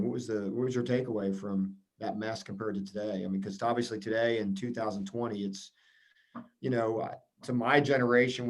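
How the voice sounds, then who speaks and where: Interview moderator, male, mid-pitched, keen and wordy with his questions. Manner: eager, rambling questions with restarts.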